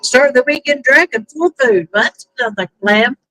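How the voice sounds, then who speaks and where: A woman chatting casually on a pontoon boat while fishing, easygoing and quick to laugh. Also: high-pitched, pushed and bright, poor audio. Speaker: fishing streamer. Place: outdoors on a pontoon boat on a lake.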